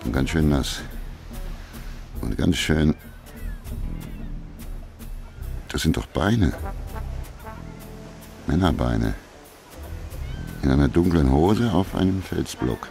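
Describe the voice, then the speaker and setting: A world-weary private eye in a radio crime play. His voice sits very low and crackles with gravel, yet it moves expressively. He is laconic, dry and sardonic. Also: darkish tone, exaggerated voice. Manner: laconic, terse, sardonic asides. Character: hardboiled private detective, dry, weary, wry. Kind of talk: German radio crime drama acting.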